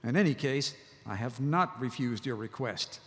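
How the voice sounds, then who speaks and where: A man acting a calm, shrewd, courteous army colonel in a live audio drama, formal and diplomatic. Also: mid-range voice, normal speed, close to an everyday voice. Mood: placating. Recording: echoey live hall.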